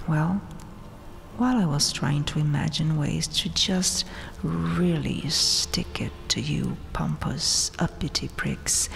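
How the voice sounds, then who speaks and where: A woman voicing a haughty, gloating vampire queen in a breathy, taunting whisper for an ASMR roleplay. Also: low voice, heavily acted. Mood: scornful, scheming. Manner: taunting, mock-sweet, haughty, drawn-out delivery. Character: domineering, gloating vampire queen, regal conqueror. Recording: long cavernous reverb, faint hiss. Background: dark tomb ambience.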